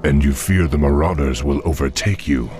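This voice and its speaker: An actor playing a young, noble orc war chief in a fantasy game, with a gravelly voice and a firm, formal manner. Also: male voice, very deep voice, moderate pace, music in the background. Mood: thoughtful, respectful. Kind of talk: fantasy video game acting.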